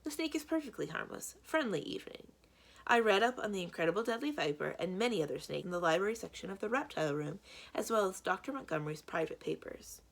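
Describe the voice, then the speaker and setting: A woman voicing a vain male villain in a storybook read-aloud, smooth, boastful and condescending. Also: medium pitch, lively intonation, fast. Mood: smug, boastful. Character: smug, vain male villain posing as snake expert.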